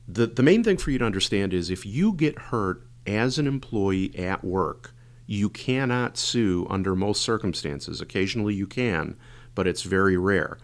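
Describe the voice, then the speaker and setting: Brief hoarse male podcast host, very low voice, loose rambling delivery. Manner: rambling, filler-heavy explaining. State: hoarse and congested. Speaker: podcast host, injury lawyer. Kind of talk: casual podcast monologue.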